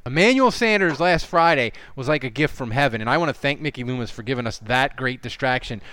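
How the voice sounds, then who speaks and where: A man's voice: mid-range voice, lively intonation, quick pace, sharp tone, clear, resonant tone, no echo. A man hosting a sports podcast, talking casually and reading sponsor spots. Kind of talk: casual sports talk and ad reads.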